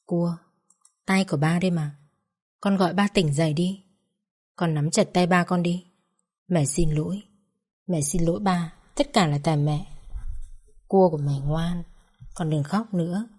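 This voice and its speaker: A woman's voice: lowish voice, moderate pace, pauses often, close to an everyday voice. A woman voicing a pleading young mother. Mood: tearful. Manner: soft, pleading, close to tears. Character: grieving young mother at her husband's bedside.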